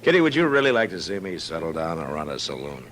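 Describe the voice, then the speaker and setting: A frontier lawman in an old radio western. His voice is very low and swings expressively, with a dry, understated authority and a lonely edge. The old broadcast recording is faintly noisy. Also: very animated, fast talker, fairly bright tone, exaggerated voice. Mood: wry, teasing. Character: watchful, lonely frontier US marshal, gruff but wry. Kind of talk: 1950s radio western acting.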